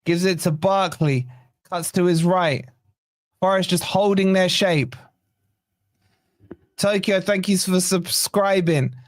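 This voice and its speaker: A man hosting a fan channel's live watch-along, hoarse from shouting, giving excited, partisan play-by-play with swearing. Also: sits high, takes it slowly, long pauses, dry recording, studio quality. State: hoarse, losing his voice. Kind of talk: excited fan match commentary.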